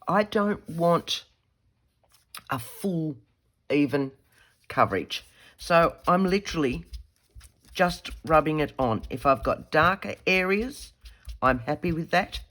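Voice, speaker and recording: An Australian woman with a croaky head-cold voice, chatting casually as she demonstrates a craft at her desk, thinking aloud and correcting her own words. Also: deep voice, a bit bright. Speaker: craft tutorial host.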